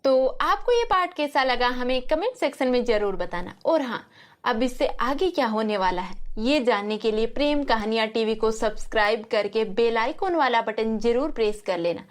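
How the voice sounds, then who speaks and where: A woman's voice: fairly high pitch, expressive, moderate pace. A woman narrator reading a scripted outro for a story channel.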